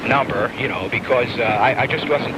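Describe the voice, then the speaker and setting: Man's halting account in heavy noise. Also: medium pitch. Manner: halting, searching for words. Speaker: eyewitness. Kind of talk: spoken eyewitness account in a news interview. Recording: very noisy old newsreel interview footage.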